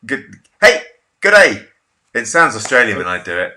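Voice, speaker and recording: A man's voice: mid-range voice, sing-song, moderate pace, sharp tone, a little hiss or hum. Chatty man hosting a video-call interview. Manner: chatty, jokey, self-deprecating. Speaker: interview host. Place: home office room.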